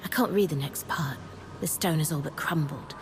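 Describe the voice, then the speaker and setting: An actress playing an earnest, curious historian in a video game, speaking in a measured, thoughtful way and giving ancient words gravity. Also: female voice, low-pitched, background noise, lightly acted. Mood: solemn. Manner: measured, contemplative, reading aloud with gravity. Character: earnest, curious woman historian and outsider studying ancient inscriptions. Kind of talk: video game character dialogue. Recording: long hall reverb, music behind.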